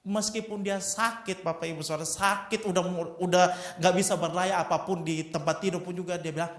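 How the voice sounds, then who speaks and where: A man's voice: sits high, fairly even intonation, brisk, a bit bright. A man in his twenties preaching a sermon with personal testimony, emphatic and often addressing the congregation. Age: 20s. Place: church hall. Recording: echoey church hall.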